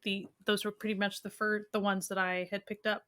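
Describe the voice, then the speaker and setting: A woman's voice: mid-range voice, level tone, fairly quick, a bit bright. A young woman co-hosting a podcast, casually explaining what she has been watching, with an easygoing manner and plenty of "um". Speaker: podcast co-host. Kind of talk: casual chat recommending shows.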